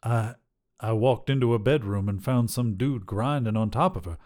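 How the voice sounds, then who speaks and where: A man's voice: fairly low pitch, normal speed, darkish tone, natural voice. A man voicing a young drifter, dazed and haunted, who speaks in a hesitant, stammering way.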